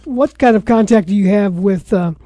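A man's voice: high-pitched, moderate pace, dark tone. A man hosting a sports talk show, asking casual interview questions. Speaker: radio sports talk host.

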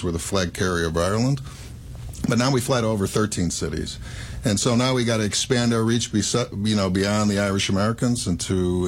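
A man's voice: fairly low pitch, slight background noise. A man with a Chicago accent, an airline executive speaking upbeat and promotional as a radio interview guest.

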